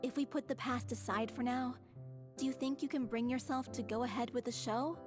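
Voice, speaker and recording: Actress playing earnest young lady in a game, high voice, polite, composed, music underneath. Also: steady tone, brisk, natural voice. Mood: earnest, resolved. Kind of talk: video game voice acting.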